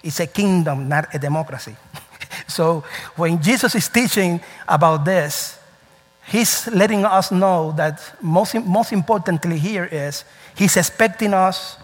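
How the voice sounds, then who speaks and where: A male pastor delivers a lively sermon, telling stories with emphatic rises in a fairly high voice. His English grammar slips here and there. The sound carries the long reverb of a church hall. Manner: animated, storytelling, emphatic. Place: church sanctuary.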